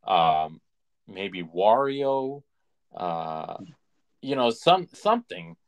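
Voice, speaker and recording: A male podcast co-host speaks in a fairly low voice at an unhurried, slow pace. His delivery is casual and halting, like a relaxed chat between friends.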